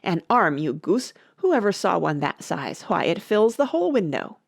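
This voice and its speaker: A woman's voice: on the low side, sing-song, moderate pace, gravelly, dry recording, clean studio sound, full character voice. A woman voicing an angry, imperious male rabbit who barks orders in a storybook reading. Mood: angry, scolding. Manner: barking imperious orders, scolding. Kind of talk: storybook character voice.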